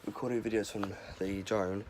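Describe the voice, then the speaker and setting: Young guy vlogging, bit hoarse from a cold, lowish voice, rambly, noisy background. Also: fairly even intonation, moderate pace, darkish tone. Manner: rambling, chatty, filler-heavy. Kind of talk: casual vlog chat.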